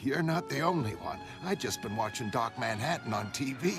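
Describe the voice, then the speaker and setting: A man's voice: mid-range voice, animated, moderate pace, lightly acted. A man voicing a friendly older male character in a motion comic, talking in a chatty, familiar way. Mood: concerned. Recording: music behind the voice.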